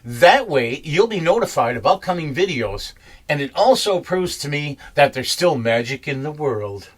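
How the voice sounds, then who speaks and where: Playful male presenter, slightly high voice, very animated intonation, casual delivery. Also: normal speed. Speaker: presenter and interviewer. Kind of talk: casual presenting with dry quips.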